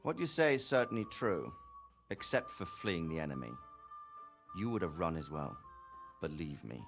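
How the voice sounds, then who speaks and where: A man playing a fair-minded, dignified lord in a video game, speaking with measured authority and wry patience. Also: deep voice, expressive, vocal fry, faint music behind. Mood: reasoning. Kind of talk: video game cutscene acting.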